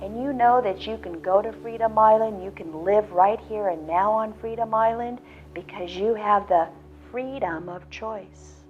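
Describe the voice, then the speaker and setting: A woman leading a guided visualization, speaking slowly and soothingly with soft pauses. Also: mid-range voice. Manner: slow, soothing, drawn-out phrasing with soft pauses. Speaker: guided meditation leader. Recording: slightly dull lo-fi audio with faint background music.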